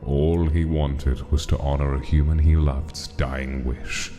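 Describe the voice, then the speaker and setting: A very deep, grave monster king. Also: level tone, measured pace, dark tone. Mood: grave, probing. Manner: grave, commanding. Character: grieving, embittered monster king, grave and resolute. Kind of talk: dramatic comic dub acting. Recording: long reverb, clear music behind.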